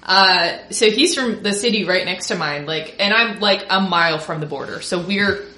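A woman's voice: mid-range voice, moderate pace. Woman, mid-range voice, casual chat, echoey room. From Minnesota. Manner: chatty, swings into excited mock shouting. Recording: roomy podcast room.

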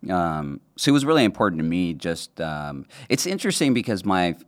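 Casting: man raised speaking Spanish, born in Honduras; very low voice with a lively, swinging delivery; loose, rambling, casually profane. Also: fairly quick. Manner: rambling, laughing, swears casually. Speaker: podcast guest. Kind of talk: casual chat.

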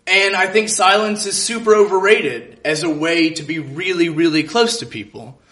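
A man's voice: sits high, moderate pace, a bit bright. A man telling a personal story live to a room, speaking in an earnest, reflective way. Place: live event in a room with an audience.

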